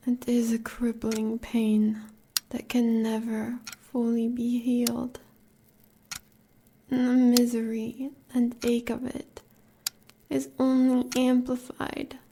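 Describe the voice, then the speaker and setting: With clear noise behind her, a woman acts out a devoted, fierce American huntress in an audio roleplay. Her voice is breathy and creaky, edging toward a whisper. She consoles her lover in slow, solemn, poetic phrases. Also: on the high side, dark tone. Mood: sorrowful, consoling. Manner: solemn, measured, poetic delivery.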